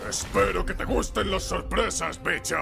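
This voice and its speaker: A vicious armoured game villain with an extremely gravelly, high-set voice. He jeers with open menace. Very loud music plays along. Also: moderate pace. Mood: menacing. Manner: menacing, jeering. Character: vicious armoured male scorpion-themed supervillain.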